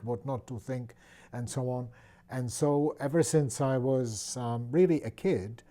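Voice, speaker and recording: A man in his sixties from the Czech Republic with a Czech accent, speaking thoughtfully in an interview with many pauses and restarts. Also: medium pitch, dark tone. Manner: thoughtful, with frequent fillers and restarts. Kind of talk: reflective personal interview.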